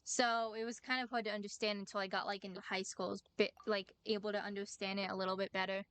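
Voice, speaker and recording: A woman's voice: medium pitch, level tone, fast talker, a bit bright. A woman from the United States chatting casually on a stream, hesitant, with frequent "like" as she goes. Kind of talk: casual chat.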